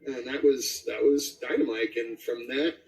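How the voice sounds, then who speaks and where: A man's voice: medium pitch, unhurried, a little mellow, echoey room, far from the mic, low-quality recording. A man talking casually as an interviewed voice actor, rambling, breaking off and repeating his phrases. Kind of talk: casual chat.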